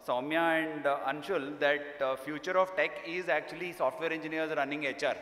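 A middle-aged man moderating a conference panel off the cuff, haltingly, with repeated phrases, on a stage microphone. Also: a little high, steady tone, a bit bright. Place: large conference hall stage, indoors. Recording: echoey hall, amplified stage sound.

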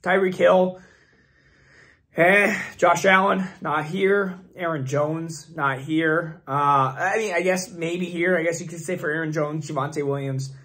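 A young man with a hoarse, fading voice hosts his channel, rambling and thinking aloud with many fillers as he talks through his picks. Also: fairly high pitch, steady tone, measured pace, bright, forceful tone. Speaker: fantasy football channel host. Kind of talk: casual screen-share commentary.

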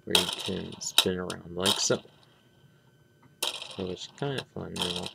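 A man giving an unscripted hands-on review, talking in a halting, rambling way and often trailing off. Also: sits low, steady tone, gravelly, very noisy. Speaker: toy reviewer. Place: indoors at a tabletop.

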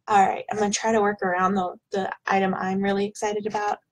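A woman in her thirties, a bit hoarse from illness, chatting casually at her webcam in a small home office while unboxing products, with plenty of ums. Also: medium pitch, level tone, quick pace, rough recording. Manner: chatty, rambling, with frequent ums. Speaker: product vlogger. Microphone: webcam at desk.

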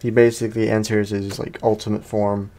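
A man's voice: on the low side, level tone, fairly quick, mellow. A man giving casual let's play commentary on a video game, chatting to viewers in slangy language. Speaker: gaming YouTuber.

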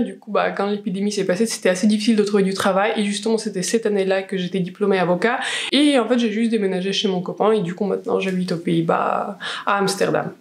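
A woman's voice: medium pitch, a little mellow. Twenties woman, Russian accent, chatty beauty vlogger voice, casual and filler-heavy. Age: 20s. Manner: chatty, many fillers and restarts. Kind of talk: casual chat while doing makeup. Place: small room indoors, plain wall.

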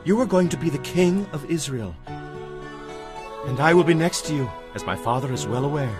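Male actor as loyal young prince, reassuring, higher voice, audio drama over music. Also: expressive, normal speed, natural voice. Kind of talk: dramatized audio Bible acting.

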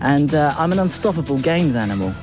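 A man's voice: fairly high pitch, sing-song, brisk, over music. A man in his twenties, a game show contestant, boasting about himself. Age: 20s.

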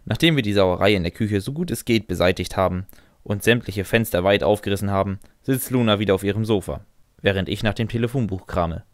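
A man's voice: lowish voice, a little fast, no room sound, studio quality. A man reading scripted fan fiction as a first-person narrator.

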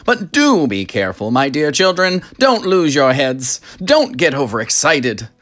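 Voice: medium pitch, very animated, unhurried, a bit bright. A narrator voicing a flamboyant, boastful man who owns a storybook factory, theatrical and full of exclamations. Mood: proud, fussy.

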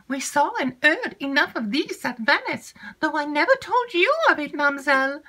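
A woman reading an audiobook and voicing a chattering, gossipy young maid with breathless, drawn-out emphasis. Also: high-pitched, sing-song, pushed and bright, pitched above the performer's own voice, heavily acted.

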